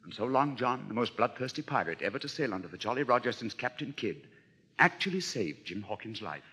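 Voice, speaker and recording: A man narrating a pirate adventure as storyteller, hushed and deliberate, in dramatic scripted style. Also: fairly low pitch, big pitch swings, husky, in a large hall, voice pushed down, exaggerated voice. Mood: hushed, suspenseful. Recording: noisy old vinyl record.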